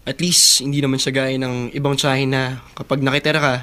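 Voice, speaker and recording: A man's voice: mid-range voice, little pitch movement, brisk, bright, forceful tone, lightly acted. A man playing a picky, easygoing young younger brother in a Filipino radio drama, with a Filipino accent. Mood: matter-of-fact.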